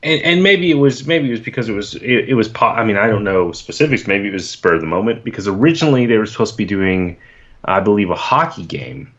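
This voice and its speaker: Male podcast guest, lowish voice, halting repeat-starts, muffled lo-fi audio. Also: expressive, fairly bright tone. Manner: hesitant, repeats words while thinking. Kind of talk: casual podcast chat.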